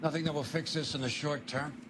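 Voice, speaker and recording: An actor playing a matter-of-fact political fixer in a video game, terse and controlled. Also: male voice, a little high, steady tone, fairly quick, noisy background, natural voice. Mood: grave, reassuring. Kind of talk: dramatic video game acting.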